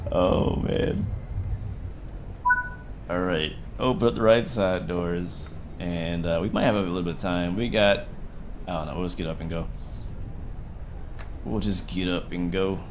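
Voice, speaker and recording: A young man chatting excitedly and joking while live-streaming a game. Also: low voice, a little slow, long pauses. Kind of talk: casual live-stream commentary. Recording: game train noise underneath.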